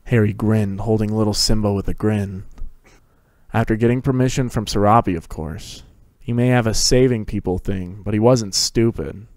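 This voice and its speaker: A man's voice: on the low side, moderate pace, darkish tone, no room sound. A man giving a scripted dramatic reading of a fantasy story as narrator. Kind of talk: dramatic reading of fan fiction.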